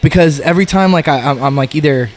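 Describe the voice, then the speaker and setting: A man's voice: fairly high pitch, quick pace, faint music behind. A young man from Arizona whose first language is Spanish, a guest on a casual podcast, speaking in an easygoing, agreeable way full of fillers. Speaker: guest, MMA fighter.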